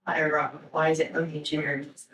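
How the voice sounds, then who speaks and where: A woman's voice: sits low, flat delivery, fast, crowd noise. An Irish woman speaking informally and enthusiastically as a guest speaker in a discussion with an audience. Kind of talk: conversational talk and audience discussion. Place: indoor talk before an audience. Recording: echoey room, distant microphone.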